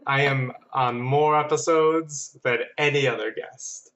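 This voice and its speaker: A man's voice: mid-range voice, fairly even intonation, a little slow, fairly bright tone. A man chatting casually as a podcast guest, in a slightly dull, lo-fi recording. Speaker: podcast editor appearing as guest. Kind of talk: casual banter.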